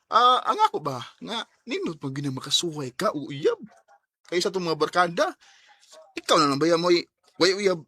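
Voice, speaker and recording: A man's voice: a little high, very animated, normal speed, sharp tone, breathy edge, no echo, clean studio sound, heavily acted. A man playing a playful, nosy male student who teases his friend, in Bisaya radio drama style. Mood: teasing. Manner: teasing, exclamatory. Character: teasing male school buddy, playful and nosy.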